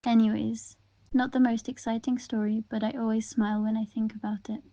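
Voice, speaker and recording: A woman reading interview answers aloud in the first person, in an even, gentle and unhurried way. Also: a little high, no echo.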